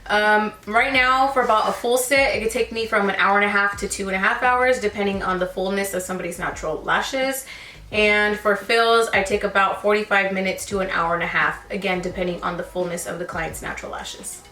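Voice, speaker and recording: A woman's voice: medium pitch, a little fast, sharp tone, distant mic. Chatty woman in her twenties, roomy echo. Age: 20s. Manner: chatty, calls viewers girl and sis. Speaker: lash technician answering viewer questions. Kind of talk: casual Q&A chat while sipping wine.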